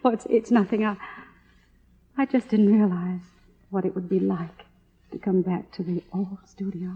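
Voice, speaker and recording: An actress playing a needy, grandiose middle-aged film star in an old radio drama, speaking theatrically in halting, drawn-out phrases. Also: female voice, mid-range voice, fairly even intonation, husky. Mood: overcome. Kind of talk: mid-century radio drama acting. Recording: tinny, narrow old radio broadcast.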